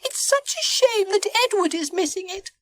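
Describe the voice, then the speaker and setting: A woman voicing an excitable, gushing young female passenger in a children's storybook. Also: extremely high pitch, moderate pace, heavy background noise, pitched above the performer's own voice. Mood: delighted.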